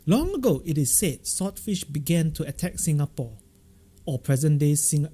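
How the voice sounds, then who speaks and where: A man with a Malaysian accent hosting a casual livestream, chatting and telling stories in an animated, jokey way full of local slang. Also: fairly high pitch, normal speed, slightly rough. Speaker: livestream host. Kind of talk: casual live-stream chat and storytelling.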